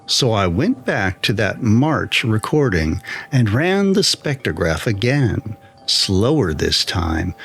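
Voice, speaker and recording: A man reading a scripted first-person horror story in a measured, deliberate way, with pauses between phrases. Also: mid-range voice, husky. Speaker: storyteller, channel narrator.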